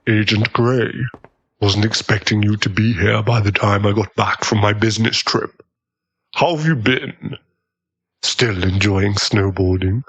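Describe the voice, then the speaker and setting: Man playing a husky, smug young vampire. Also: on the low side, a little slow, a bit bright, dull audio. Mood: taunting. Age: young adult. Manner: teasing, coolly menacing. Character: smug, possessive young adult male vampire, doting and predatory. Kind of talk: ASMR boyfriend audio roleplay.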